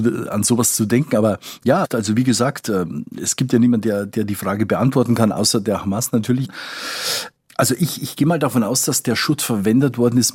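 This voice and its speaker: Male foreign correspondent, podcast interviewee. Deep-leaning, rough-edged voice, conversational and explanatory with halting restarts. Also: a little mellow, no room sound, clean studio sound. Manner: explanatory, hesitant with repeated word starts.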